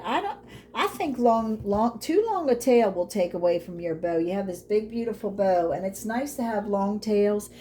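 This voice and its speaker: A woman's voice: medium pitch, warm tone, slight background noise. A woman, losing her voice, chatting through a craft tutorial in an encouraging way. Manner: chatty, encouraging, says y'all a lot. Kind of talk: casual how-to vlog.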